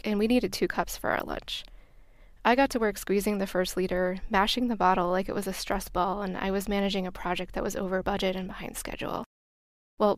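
American woman podcaster reading her travel story, normal pitch, dry recording no room sound. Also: dry recording. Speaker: podcast host. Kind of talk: scripted travel storytelling narration.

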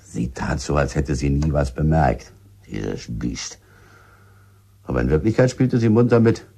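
A bitter village husband in a radio drama, with a very low, husky voice. He talks coarsely and guardedly, halting over his answers like someone under suspicion. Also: animated, dark tone, full character voice. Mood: scornful. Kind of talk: German radio drama acting.

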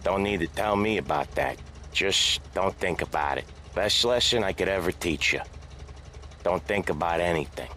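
An actor playing a cool, menacing, seasoned mob enforcer in a crime drama game. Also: male voice, deep voice, animated, moderate pace. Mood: cool, advising. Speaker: video game character. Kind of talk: cinematic crime drama acting.

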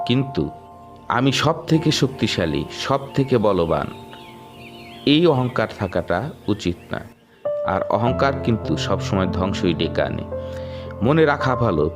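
A man narrating a children's moral story in a measured, moralizing way. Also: on the low side. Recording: music under narration.

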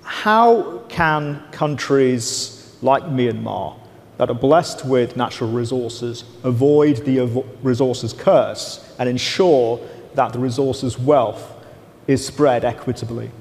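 A man's voice: medium pitch, slow, vocal fry, darkish tone. A man in his forties moderating a conference panel, posing questions in a formal manner. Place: large conference hall stage. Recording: reverberant conference hall.

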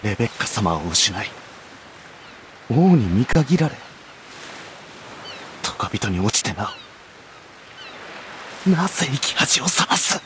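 Slow, bitter young fallen knight. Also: male voice, mid-range voice, very animated, background noise. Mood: bitter despair. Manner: grave, bitter, dramatic. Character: proud, stern, despairing young fallen knight. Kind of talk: Japanese anime game voice acting.

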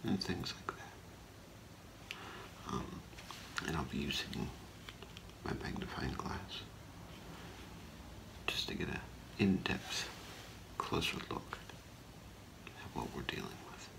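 A middle-aged man doing a whispered ASMR role play as a gemologist, speaking in a hesitant, halting, softly reassuring way. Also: rumbling low, expressive, gravelly, rough recording. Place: small indoor room with curtain.

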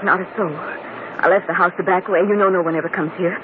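A woman's voice: fairly low pitch, steady tone, fast, close to an everyday voice. An actress playing an anxious, clinging young woman in a vintage radio drama. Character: anxious young female conspirator, clinging, outwardly gracious. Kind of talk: 1940s radio acting. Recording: very noisy, tinny old radio broadcast.

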